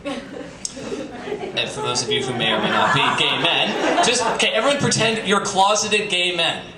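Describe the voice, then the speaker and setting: A man's voice: high-pitched, big pitch swings, a little slow. A man giving a theatrical live reading with joking asides and campy, playful emphasis, as a reader at a comedy night. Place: bistro venue in front of an audience. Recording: roomy, distant, noisy live stream capture.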